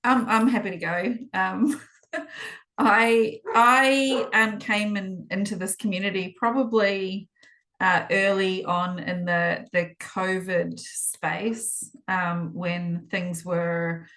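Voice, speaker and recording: A woman's voice: mid-range voice, fairly even intonation, takes it slowly, fairly bright tone. A woman, a podcast guest answering interview questions in a hesitant way, with many fillers and restarts.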